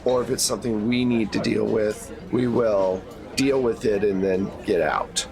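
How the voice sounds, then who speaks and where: A man playing a businesslike female agency handler in a tabletop game, briefing agents in a measured, matter-of-fact way. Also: on the low side, gravelly, crowd noise.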